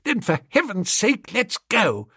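A man voicing a flustered, bumbling young gentleman who stammers and dithers, in a storybook reading. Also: high voice, wildly swooping pitch, a little slow, husky, no room sound, clean studio sound, pitched above the performer's own voice, full character voice. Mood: nervous.

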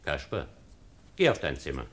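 An actor playing a stern, proud Nuremberg baron and guardian in a 1950 radio drama, speaking formally with pointed courtesy. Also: male voice, a bass voice, wildly swooping pitch, normal speed, full character voice. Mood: firm, reproachful. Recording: vintage 1950 radio recording.

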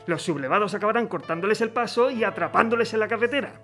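A man's voice: high-pitched, fast, a bit bright, soft background music. A man playing a worried civil governor in an amateur radio drama. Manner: worried, questioning. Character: anxious civil governor of a besieged city.

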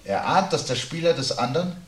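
A man's voice: fairly high pitch, animated, fairly quick, natural voice. An actor playing a weary, gloomy intellectual man in a radio play, speaking in a pensive, pedantic and declamatory way. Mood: uneasy, brooding. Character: gloomy, weary intellectual man who dreads birds and doom. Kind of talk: 1970s German radio play dialogue. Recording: hissy 1970s radio recording.

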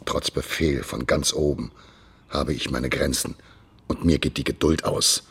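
An actor playing a contemptuous Soviet KGB boss in a noir radio drama, sneering, mock-polite and threatening, with a husky voice. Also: male voice, extremely low pitch, steady tone, measured pace, a bit bright, exaggerated voice.

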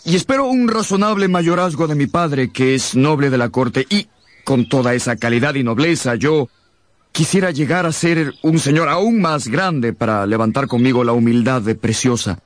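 A man's voice: medium pitch, normal speed, close to an everyday voice. Earnest lovestruck young nobleman, played by an actor. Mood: earnest pleading. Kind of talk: Spanish radio drama acting.

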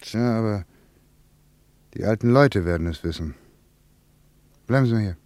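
Low dry male voice, actor as a musing working guy in a radio drama, halting and reflective. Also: a little mellow, no room sound, close to an everyday voice. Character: Ludwig, a reflective working man returned to his childhood town. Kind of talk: German radio play acting.

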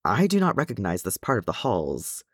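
A man's voice: lowish voice, huge pitch swings, moderate pace, a bit bright, husky, no room sound, clean studio sound, full character voice. A man voicing a proud, skeptical older dwarf lord in an audiobook story, with a formal, disapproving manner. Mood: hissing, puzzled.

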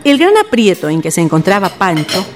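A woman's voice: medium pitch, huge pitch swings, a little fast, soft background music. A woman reading scripted narration as the narrator of a radio drama.

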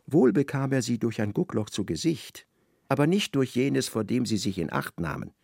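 A man narrating a literary radio play in a measured, precise storytelling style. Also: medium pitch, creaky, a little mellow, no echo, studio quality.

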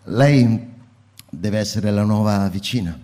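A man playing a book-burning fireman in a radio drama, narrating intensely and slowly, then chatting with a neighbor. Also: lowish voice, warm tone. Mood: friendly. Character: fireman who burns books, middle-aged man. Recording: narration over live music.

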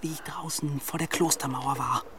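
A man's voice: on the high side, fairly even intonation, moderate pace, background noise, close to an everyday voice. A man playing an anxious, guilt-ridden young monk in a German radio play, hesitant and pleading. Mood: cornered, stammering.

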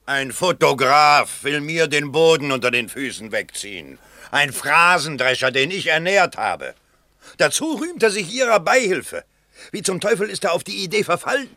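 A man's voice: on the high side, animated, normal speed, sharp tone, no echo, studio quality. A man playing a pompous, crafty small-town political candidate in a 1970s German radio play, speaking in a declamatory way. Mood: indignant.

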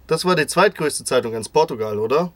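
A man's voice: a little high, lively intonation, a little fast, fairly bright tone, no echo. A man reading out an interviewer's questions for a radio piece.

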